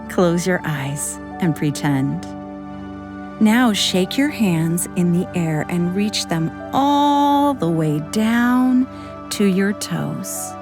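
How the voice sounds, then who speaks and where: A woman narrating a children's bedtime story in a gentle, expressive way, speaking straight to young listeners. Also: lowish voice. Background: music.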